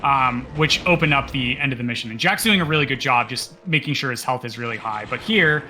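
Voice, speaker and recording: A man's voice: medium pitch, brisk, bright, forceful tone, faint music behind. A man co-commentating a live speedrun, explaining the game in a casual way.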